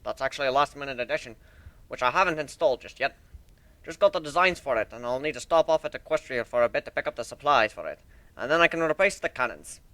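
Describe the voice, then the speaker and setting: A man's voice: medium pitch, expressive, fast talker, sharp tone, no room sound. A man voicing a boastful, hearty minotaur airship builder in a dramatic story reading, with a brash, folksy way of talking. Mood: boastful, explaining. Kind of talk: dramatic fan fiction reading.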